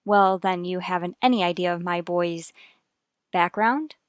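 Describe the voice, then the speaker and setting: A woman's voice: on the low side, a little slow, smooth, no room sound, studio quality, lightly acted. An actress playing a worried, earnest young mother in a vintage radio soap opera, confiding in a careful, hesitant way. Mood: guarded, earnest.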